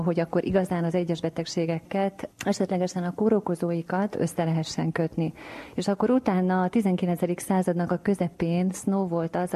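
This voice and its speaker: A woman's voice: lowish voice, warm tone. A woman speaking as an expert guest, explaining in an emphatic, unscripted interview manner.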